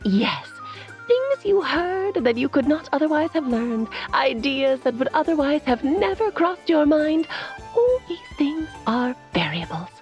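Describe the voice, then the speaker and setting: An actress voicing a knowing, mysterious woman who explains things in a measured, lightly amused way. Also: high voice, sing-song, soft background music. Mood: explaining. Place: studio.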